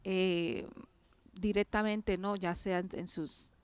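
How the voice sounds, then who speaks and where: Colombian woman, smooth voice, hesitant testimony. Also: mid-range voice, steady tone, takes it slowly, dry recording. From Cali, Colombia. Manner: hesitant, many fillers and restarts, devout. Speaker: guest. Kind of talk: personal testimony in an interview.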